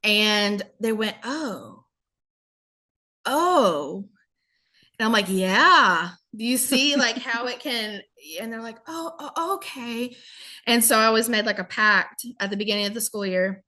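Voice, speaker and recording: A woman from the American South with a Southern accent, a guest telling lively stories in a casual podcast chat. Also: fairly high pitch, measured pace. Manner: lively storyteller, acts out quotes, laughs often. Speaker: guest, former English teacher in Korea.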